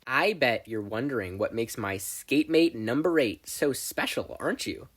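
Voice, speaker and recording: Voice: mid-range voice, expressive, moderate pace, slightly rough. A podfic reader voicing a brash, self-assured teenage girl inventor, boastful, blunt and emphatic. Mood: boastful, enthusiastic.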